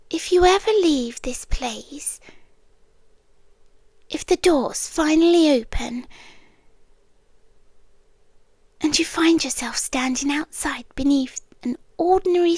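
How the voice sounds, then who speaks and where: Woman acting hushed, high-voiced eerie British doctor. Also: no room sound, heavily acted. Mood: sad, vulnerable. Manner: soft, hushed, unhurried, often whispering. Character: eerie, tender, possessive female doctor haunting an empty hospital. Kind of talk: whispered ASMR roleplay.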